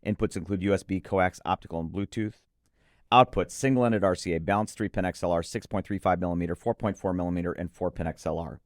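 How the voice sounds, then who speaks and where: Deep-voiced emphatic man reviewing gear. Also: quick pace, no echo, clean studio sound. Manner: emphatic, gushing about gear. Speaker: co-host of an audio gear preview show. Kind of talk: enthusiastic product review chat.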